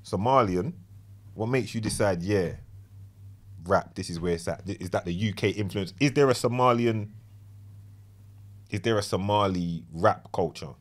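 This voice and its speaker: Podcast host, low-voiced London man, easygoing, agreeable interviewer. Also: normal speed, long pauses. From Lewisham, South London. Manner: laid-back, affirming, lots of "yeah, yeah" and "facts".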